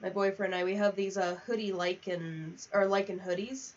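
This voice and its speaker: A woman's voice: medium pitch, fairly even intonation, normal speed, a little hiss or hum. Distant-sounding young woman chatting on stream. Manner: rambling, chatty asides. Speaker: livestreamer. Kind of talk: casual livestream chat while gaming. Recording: distant, slightly muffled mic.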